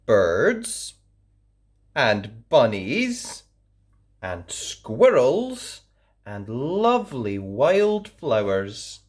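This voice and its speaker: A woman hosting a channel of read-aloud children's stories, reading gently and expressively. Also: rumbling low, big pitch swings, drawn-out words. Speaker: storyteller host.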